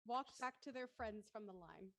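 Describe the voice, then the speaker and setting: Woman narrator, mid-pitched voice. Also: expressive, normal speed. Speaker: narrator reading stage directions. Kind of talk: scripted narration.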